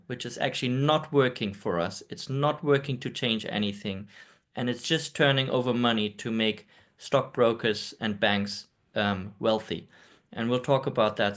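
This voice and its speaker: A man's voice: mid-range voice, a bit bright. A man from Germany giving an earnest, hesitant talk on a video call, with frequent ums. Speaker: presenter.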